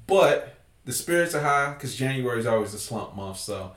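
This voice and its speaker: Profane, loud-joking podcast host man. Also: mid-range voice, animated, moderate pace, reverberant. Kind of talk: casual podcast banter.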